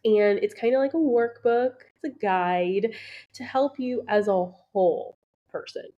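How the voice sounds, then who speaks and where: A woman's voice: medium pitch, unhurried, highs cut off. A woman hosting her own podcast as a beauty business coach, talking casually and encouragingly with plenty of ums, a little nasal and congested from allergies. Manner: chatty, encouraging, many ums. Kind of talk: casual solo podcast talk.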